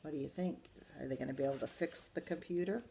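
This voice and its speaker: A woman with a fairly low voice reads a picture book to children. She is expressive, like a librarian at story time, and breaks off to put questions to her listeners. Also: fast, creaky, slight background noise. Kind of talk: children's story read-aloud with asides to young listeners.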